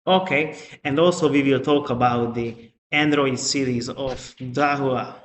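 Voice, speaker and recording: Male webinar trainer, mid-pitched and unhurried, halting delivery, echoey sound with faint background noise. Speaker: webinar presenter, product trainer. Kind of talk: live online product training presentation.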